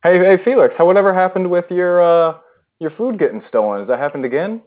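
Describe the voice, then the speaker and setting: A man's voice: sits high, quick pace. A man hosting an online improv session, chatty and welcoming to new players. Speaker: host of an online improv game. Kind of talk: casual voice-chat hosting. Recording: tinny voice-chat line.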